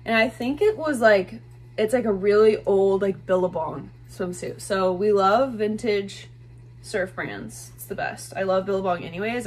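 A woman in her twenties talking casually to camera in a small bedroom, gushing about thrifted clothes with lots of "like" fillers. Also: medium pitch. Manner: chatty, gushing, many "like" fillers. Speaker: fashion vlogger. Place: small bedroom with bed, indoors.

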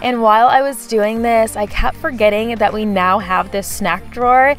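A woman's voice: a little high, normal speed, music in the background. A woman vlogger chatting casually in a voice-over about her kitchen, with frequent "like" fillers. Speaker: home vlogger.

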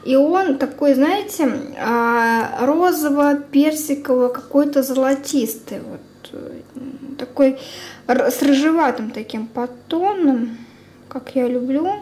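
A young woman chatting casually through a product review as a beauty vlogger, informal and unhurried, thinking aloud. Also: sits high, darkish tone. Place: indoors at a table at home. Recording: clear background room noise.

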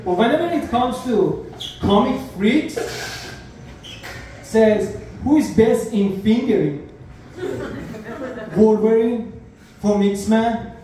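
A young man from Iran with a Persian accent, performing an animated stand-up comedy set on a small club stage, speaking into a handheld mic in slightly broken English. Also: high-pitched, takes it slowly, pauses often. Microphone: handheld mic, heard from a far camera. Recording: echoey club sound from far off.

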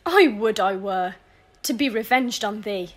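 A woman's voice: mid-range voice, expressive, measured pace. A young woman playing a bitter, vengeful noble widow in a verse audio drama, speaking with scorn. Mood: bitter cursing. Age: young adult. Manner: scornful, cursing. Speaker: student actor.

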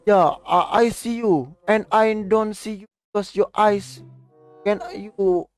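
A man's voice: sits high, fairly even intonation, slow pace, soft background music. A young man from Malaysia with a Malaysian English accent, chatting on a video call in hesitant, broken English from his bedroom through a headset mic. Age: young adult. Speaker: streamer. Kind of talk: casual video chat with a stranger. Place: bedroom with a gaming chair.

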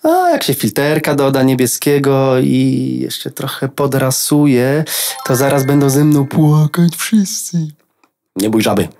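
A woman's voice: extremely low pitch, very animated, a little slow, warm tone, full character voice. A woman voicing a laid-back, slangy computer component in a children's audio drama, with a casual drawl.

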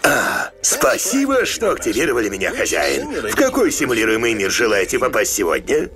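An obedient cartoon machine voiced by a man serves its master politely. The pitch is middling but swings extremely widely. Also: wildly swooping pitch, fairly quick, creaky. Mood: servile, polite.

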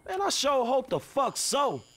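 A man's voice: very high-pitched, wildly swooping pitch, measured pace, noisy background, full character voice. An actor playing a blunt, exasperated, streetwise young man in a video game cutscene, talking in profane slang. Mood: incredulous.

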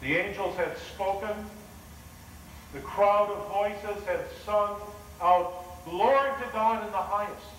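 A man, a pastor, playing a humble shepherd and telling in first person how he saw the angels, in a slow dramatic sermon monologue. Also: sits high, a bit bright, slight background noise. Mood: awed storytelling. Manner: slow, storytelling, wondering. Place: large church sanctuary. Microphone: far video camera. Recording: roomy echoing church, distant camera.

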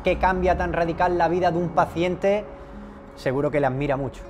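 A man's voice: a little high, brisk. A young man interviewing a patient outdoors on a patio, asking leading questions with hesitant restarts. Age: young adult. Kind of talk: promotional interview. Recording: music bed underneath. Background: background music.

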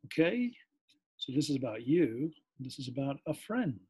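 A middle-aged man from England, giving a cheerful, expressive online lesson from a home room over a webcam. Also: medium pitch, moderate pace, breathy edge. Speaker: English teacher. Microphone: webcam on a computer.

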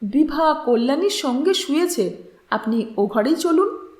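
Woman reading as calm earnest man, slow-paced. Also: sits high, roomy sound. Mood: polite. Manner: measured, matter-of-fact. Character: calm, earnest idealistic man, frank and measured.

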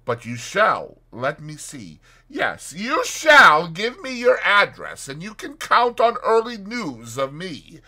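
An elderly, well-to-do physician from a classic tale. He is dignified yet flustered, with false graciousness and condescension. The voice sits high, bright and very animated. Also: slow pace, few pauses, exaggerated voice. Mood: effusive, condescending. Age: older. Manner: smooth-talking, condescending, nervously placating.

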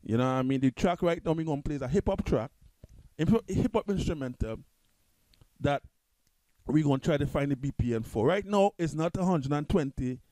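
A man with a Trinidadian accent, talking loosely through a music software tutorial at his computer, repeating himself and restarting often. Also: a little high, expressive, moderate pace, no echo, poor audio. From Trinidad and Tobago. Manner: loose, repetitive, restarts a lot. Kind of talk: casual screen-recorded software tutorial.

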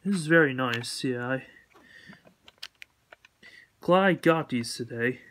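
A man giving a casual, unscripted collection update in a halting, repetitive, matter-of-fact way. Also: mid-range voice, a bit bright, a little hiss or hum. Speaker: collector showing purchases.

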